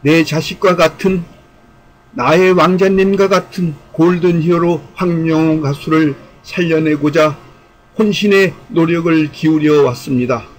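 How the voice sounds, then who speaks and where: A man's voice: on the high side, fairly even intonation, a little slow, rough recording. A man delivering scripted commentary in a formal, speech-like way, with an earnest, rhetorical, declamatory manner. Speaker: YouTube channel commentator.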